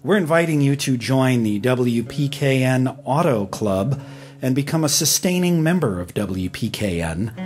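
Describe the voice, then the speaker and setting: Male radio host making an on-air pitch. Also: darkish tone. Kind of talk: live radio fund drive announcing. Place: radio broadcast studio.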